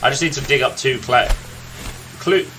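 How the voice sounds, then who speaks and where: A man's voice: mid-range voice, normal speed, background noise. A man hosting a gaming video, giving casual commentary as he plays. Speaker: gaming host. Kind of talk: casual gameplay commentary with dialogue read aloud.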